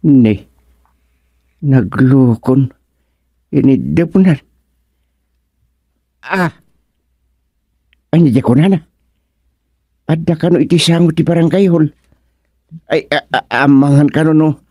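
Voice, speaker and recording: A man acts out a muddled senior village councilman from a radio comedy, with an Ilocano accent. He talks slowly and haltingly, muttering and exclaiming to himself in a flustered way. The sound is close and dry. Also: on the high side, mellow, slightly rough, dry recording. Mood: bewildered, fretful.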